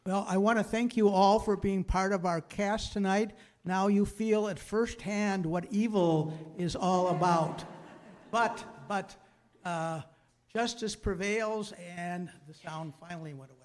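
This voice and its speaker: A man hosting a community show, informally reading thanks and credits in a halting, hesitant way. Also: high-pitched, fairly even intonation, long reverb tail. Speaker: host of a community radio-play show. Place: hall with a live audience.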